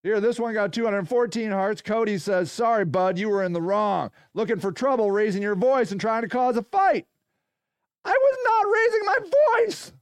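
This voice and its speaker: A man hosting a podcast in a loud, profane, ranting monologue. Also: high-pitched, animated, moderate pace, clean tone, no echo, studio quality. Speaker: podcast host. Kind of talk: casual monologue.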